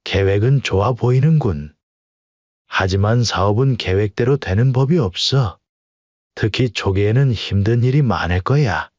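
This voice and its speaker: A man voicing a calm, low-voiced older father. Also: dry recording, dull audio, close to an everyday voice. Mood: calm, reassuring. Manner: measured, fatherly, speaks in plain statements. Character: calm older father backing his son-in-law. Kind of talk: audio drama dialogue.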